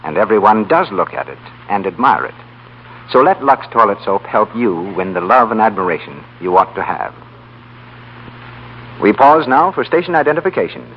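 A man's voice: lowish voice, very animated, moderate pace, breathy edge. An American man working as an announcer, reading a scripted 1930s radio show and its advertising. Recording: muffled 1930s radio broadcast, faint hum.

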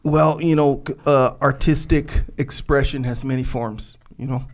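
A man's voice: mid-range voice, steady tone, normal speed. Laid-back, rambling podcast co-host man. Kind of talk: casual podcast chat. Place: dark studio. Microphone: studio mic on boom, headphones.

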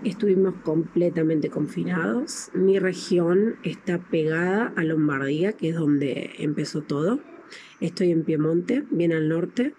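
A woman's voice: fairly low pitch, unhurried, noisy background. A woman in her thirties with an Argentine accent giving a casual personal update, recorded outdoors on a phone. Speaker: guest sending a video greeting. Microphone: phone at arm's length.

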